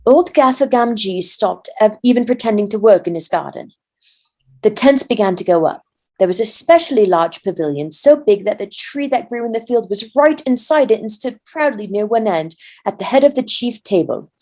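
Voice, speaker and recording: Female volunteer narrator for a storybook reading, soft-edged dark tone, mid pitch. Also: animated, normal speed.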